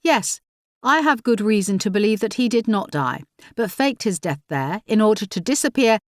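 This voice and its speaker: A woman's voice: medium pitch, expressive, normal speed, no room sound, clean studio sound, pitched above the performer's own voice, lightly acted. A woman voicing a determined female investigator who presses her point in a clipped, insistent way.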